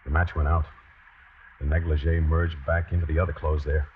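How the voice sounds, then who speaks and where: Deep-voiced, love-struck nervous man. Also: little pitch movement, brisk, slight background noise. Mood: brooding confession. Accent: American. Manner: hesitant, stammering in dialogue, confessional when narrating. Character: meek, love-struck, nervous male accomplice and narrator. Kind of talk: old-time radio suspense acting. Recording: dull vintage radio recording, dramatic music.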